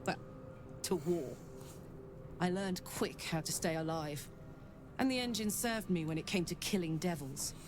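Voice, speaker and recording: A woman's voice: on the low side, lively intonation, a little slow, long pauses, noisy background. An actress playing a brash, hearty, streetwise warrior woman in a video game, telling things in frank, punchy, colloquial phrases. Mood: wry, candid. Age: 30s. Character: brash, hearty thirty-year-old female warrior, streetwise and candid.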